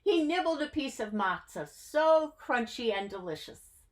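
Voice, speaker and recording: A woman reading a children's story aloud in an expressive, dramatic way, drawing out her phrases. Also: on the high side, a little slow. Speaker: story reader.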